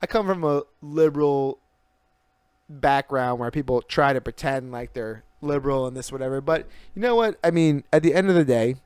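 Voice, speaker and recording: A man's voice: medium pitch, moderate pace, a little mellow, smooth, no room sound, clean studio sound. Joking young man hosting a podcast. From grew up in Singapore. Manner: joking, loose, swearing. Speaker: podcast host. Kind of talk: casual podcast conversation.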